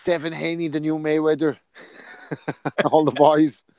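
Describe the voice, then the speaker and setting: A man's voice: a little high, little pitch movement, measured pace, a little mellow, no echo. A man co-hosting a casual podcast, cracking jokes and laughing at his own quips. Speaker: podcast co-host. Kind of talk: casual podcast chat about boxing.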